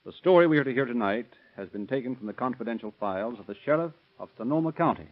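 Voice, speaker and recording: A man's voice: mid-range voice, lively intonation, quick pace, faint music behind. A man reading scripted sponsor copy as a 1930s radio announcer, formal and declamatory, on a tinny old recording.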